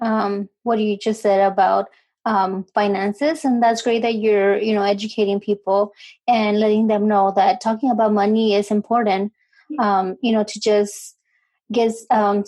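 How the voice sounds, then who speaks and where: A woman's voice: mid-range voice, darkish tone. A woman hosting a podcast interview, speaking hesitantly with frequent ums. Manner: hesitant, heavy use of um. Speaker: podcast host. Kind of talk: casual interview.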